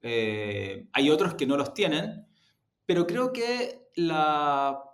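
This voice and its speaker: Echoey, higher-pitched Chilean man explaining. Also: expressive, slow, fairly bright tone. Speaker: interview guest, audio production executive. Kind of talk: interview answers, explanatory. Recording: roomy, echoey sound.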